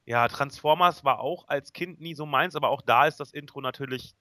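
A man's voice: fairly high pitch, brisk, sharp tone, no room sound, studio quality. A man talking casually as a guest on a nerd podcast.

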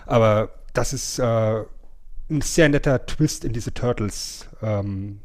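A man's voice: lowish voice, slow pace. A middle-aged man, co-hosting a podcast in an informal, explanatory way. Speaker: podcast co-host. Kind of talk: casual podcast chat.

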